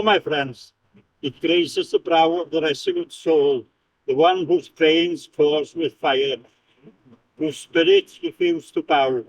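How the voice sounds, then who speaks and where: A man from Wales reads a prepared speech in a slow, declamatory way, stumbling and restarting now and then. His voice sits fairly high and comes through dry. The mic is some way off. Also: no echo, far from the mic, low-quality recording. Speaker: speaker at a commemoration. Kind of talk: reading a prepared speech aloud.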